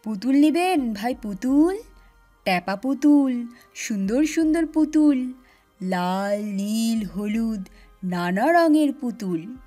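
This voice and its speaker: A woman voicing a friendly village man who sells dolls, with loud, drawn-out calls, in a children's cartoon story. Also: on the high side, lively intonation, faint music behind. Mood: hawking brightly. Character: friendly male village doll seller calling his wares.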